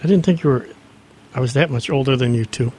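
A man's voice: mid-range voice, fast, a little mellow, husky. A man in his sixties co-hosting a radio show, teasing the host and telling stories in a casual studio chat. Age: 60s. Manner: teasing, storytelling.

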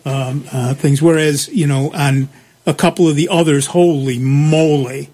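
A man hosting a call-in radio show about technology, explaining things in a relaxed, drawn-out way. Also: a little high. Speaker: radio tech show host.

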